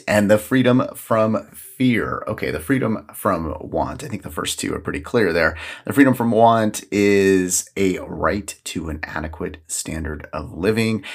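Man, podcast host, low husky voice, lively intonation, casual, jokey, rambling. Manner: jokey, self-amused, rambling asides. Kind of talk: casual solo podcast talk about the day's events.

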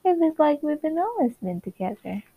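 A woman's voice: high voice, sing-song, normal speed, dry recording, phone-quality sound, pitched above the performer's own voice. A woman playing a polite, evasive young sitcom newlywed wife with a prim, earnest way of talking. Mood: unsure.

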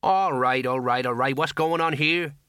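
A man voicing a big, self-important, slow-witted police sergeant in a storybook, with a ponderous, officious manner. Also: mid-range voice, sharp tone, smooth, no echo, clean studio sound, lightly acted. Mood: officious, pleased.

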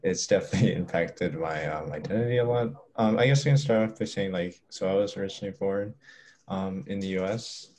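A man's voice: sits low, fairly quick, darkish tone. A young man as an interview guest, talking casually and hesitantly with many fillers and restarts.

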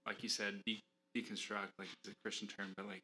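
Very bright-voiced man, thoughtful guest. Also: on the low side, little pitch movement, fast. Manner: hesitant, thoughtful. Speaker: podcast guest. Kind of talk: casual conversation.

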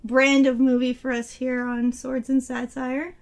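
A woman co-hosting a casual movie review podcast, her voice a bit hoarse as she gets over being sick, often laughing as she talks. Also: high voice, level tone, a little slow. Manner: giggly, laughs while summarizing. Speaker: podcast co-host.

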